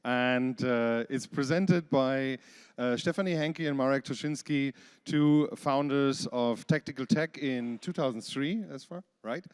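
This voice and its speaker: A man's voice: mid-range voice, a little slow, clear, resonant tone. A man introducing the speakers at a conference session, hesitant with many fillers. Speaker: session moderator.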